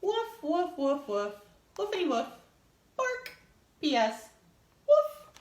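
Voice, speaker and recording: A woman voicing an excitable female pet dog in a children's storybook, barking out words. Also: takes it slowly, echoey room, full character voice. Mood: playful barking. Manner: high-pitched barking in words.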